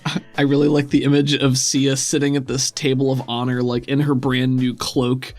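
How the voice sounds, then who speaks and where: A man's voice: mid-range voice, completely flat delivery, moderate pace, darkish tone. Man explaining game rules over faint music. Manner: conversational, explanatory. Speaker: game master.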